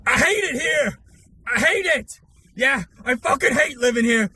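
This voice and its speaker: A man's voice: very high voice, expressive, measured pace, background noise. A man playing a furious, hateful ranter who screams and swears in a violent tirade.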